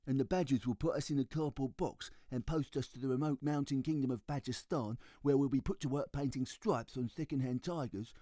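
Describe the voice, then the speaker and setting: A man's voice: mid-range voice, mellow, no echo, pitched above the performer's own voice, natural voice. A man voicing a sensible, dry young boy who patiently explains things in a children's storybook.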